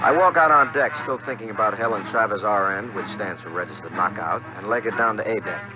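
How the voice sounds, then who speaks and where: An American actor playing a breezy, flirtatious, slangy drifter working as a steward in a 1950s radio drama. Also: male voice, lowish voice, quick pace, natural voice. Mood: hardboiled, wry. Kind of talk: 1950s radio drama acting, hardboiled first-person narration. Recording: dull old 1950s radio recording with music.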